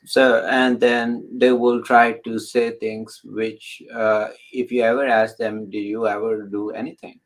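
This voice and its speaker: A man hosting an interview who talks haltingly, restarting his sentences and adding encouraging remarks. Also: on the low side, little pitch movement. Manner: halting, with many restarts and filler words. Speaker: host.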